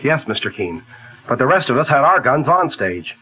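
An actor playing a blunt, gossipy band leader in a 1940s radio drama. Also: male voice, mid-range voice, big pitch swings, moderate pace, slightly rough, highs cut off. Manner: blunt, tattling.

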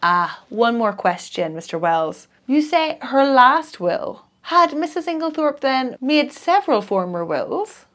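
A woman's voice: high-pitched, big pitch swings, measured pace, few pauses, a bit bright, exaggerated voice. A woman reading the lines of a grave, thoughtful male detective who asks probing questions.

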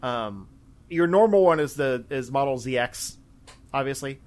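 A man's voice: medium pitch, expressive, a little slow, a little mellow. A man chatting casually about video games as a podcast host, in a rambling, hesitant way.

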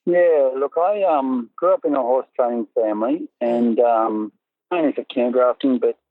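A man's voice: mid-range voice, normal speed, dry recording. Australian man over a tinny line. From North Coast of New South Wales, Australia. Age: 40s. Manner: rambling, easygoing, many ums and restarts. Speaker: guest, dressage rider and coach. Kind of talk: relaxed interview chat. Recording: tinny phone-like line with faint music.

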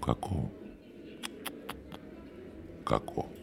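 A man voicing a sinister, sardonic devil with a creaky voice and a slow, condescending, menacing manner in a dramatised story. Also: slight background noise, close to an everyday voice. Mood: muttered disdain.

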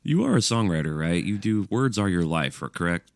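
A man's voice: sits low, very animated, brisk, creaky, clear, resonant tone, dry recording. A male podcast co-host and musician from Alabama, teasing his partner with dry, wry humor. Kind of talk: casual podcast banter.

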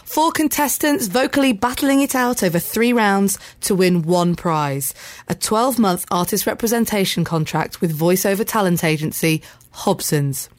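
A woman's voice: medium pitch, normal speed, no room sound. A woman hosting and reporting on a podcast, presenting a scripted segment in an upbeat, announcer-like way.

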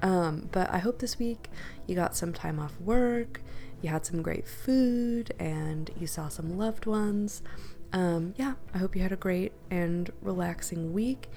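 Woman radio DJ, under the weather, stuffy nose, low mellow delivery. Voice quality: stuffy, nasal from a cold. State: sick with a cold. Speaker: radio host. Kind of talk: casual radio DJ talk.

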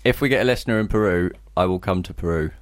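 A man's voice: sits low, fast talker, dry recording, clean studio sound. A man co-hosting a casual podcast, dropping wry, joking asides. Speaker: podcast co-host.

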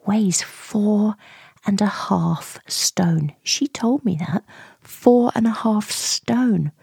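A woman in her forties narrating a first-person radio play in short, hushed, confiding phrases with a breathy voice. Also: mid-range voice, extremely slow, no echo. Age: 40s. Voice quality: breathy, husky. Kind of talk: intimate first-person dramatic monologue, present tense.

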